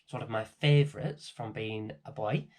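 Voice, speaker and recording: A middle-aged man hosting and reading a children's bedtime story in a gentle, expressive way. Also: lowish voice, moderate pace. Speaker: storybook reader and host.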